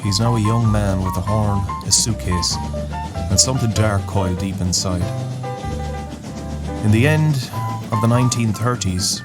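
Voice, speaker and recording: A man narrating a scripted dramatic story in a solemn, poetic, deliberate way. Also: fairly low pitch. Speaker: narrator, host of a story series. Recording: music bed under the voice. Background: music.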